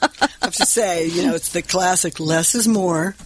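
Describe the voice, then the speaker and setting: A woman's voice: lowish voice, normal speed, slightly rough. A woman brand coach in an interview, talking earnestly and explaining with anecdotes. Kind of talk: casual interview talk.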